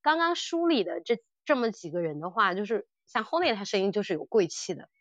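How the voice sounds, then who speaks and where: A woman chats as a podcast co-host in an enthusiastic, conversational way. Her voice sits at a medium pitch for a woman. The recording is dry and a little muffled. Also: very animated, a little fast, fairly bright tone, no echo. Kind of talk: casual discussion of audio dramas.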